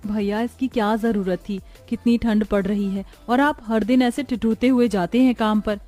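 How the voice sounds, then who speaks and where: A woman's voice: on the high side, fairly even intonation, normal speed, mellow, faint music behind, lightly acted. A woman voicing a devoted young sister from a poor family in a cartoon story, earnest and emotional. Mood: concerned.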